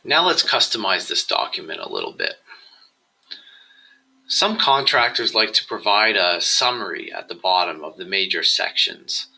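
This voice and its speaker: A man presenting a software tutorial, explaining each step in a measured, instructional screencast style. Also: low voice, big pitch swings, creaky, slightly rough, low-quality recording.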